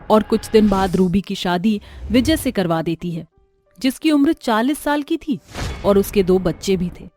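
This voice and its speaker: A woman narrates a domestic moral tale from a script. Her voice sits fairly high, in storytelling style. Soft music plays behind her.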